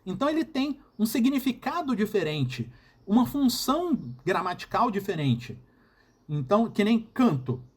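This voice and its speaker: A man with a Brazilian Portuguese accent and a husky voice, giving a recorded university lecture and often checking in with the class. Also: high-pitched, sing-song, normal speed. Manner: explanatory, frequent checking "tá?" and "né?".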